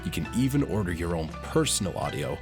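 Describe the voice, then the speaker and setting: A man's voice: low-pitched, big pitch swings, brisk, music in the background. A man who performs audio roleplays, addressing the listener directly in a channel outro.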